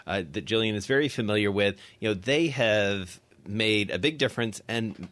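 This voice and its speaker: Guy hosting business radio, kinda low voice, wordy and jokey. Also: normal speed, fairly bright tone. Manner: wordy, playful, with hesitations. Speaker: radio host. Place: radio studio.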